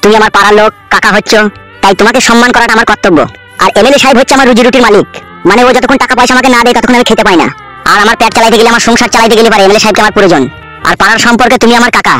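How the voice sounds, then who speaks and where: A man's voice: high-pitched, fairly even intonation, racing, sharp tone, soft background music. A man voicing a smug young henchman, bossy and persuasive in a comedy cartoon. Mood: pleading, matter-of-fact. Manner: bossy, matter-of-fact persuading.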